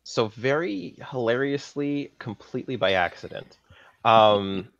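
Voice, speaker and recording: A man's voice: medium pitch, animated, measured pace, gravelly. A man from Saskatchewan with a Canadian accent, chatting casually as a guest in a podcast interview. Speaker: guest, film props master. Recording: dull lo-fi audio, slightly roomy.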